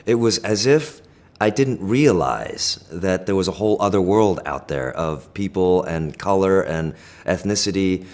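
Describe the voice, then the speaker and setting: Very deep-voiced reflective man. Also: animated, darkish tone. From Korea, raised in Pennsylvania. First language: Korean. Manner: thoughtful, measured, many fillers and restarts. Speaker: interviewee. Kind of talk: reflective interview answers.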